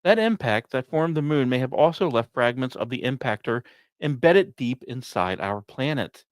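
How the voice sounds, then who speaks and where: A man hosting a podcast, reading scripted science narration in a measured, explanatory way, recorded close. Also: medium pitch, animated, no echo. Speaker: podcast host.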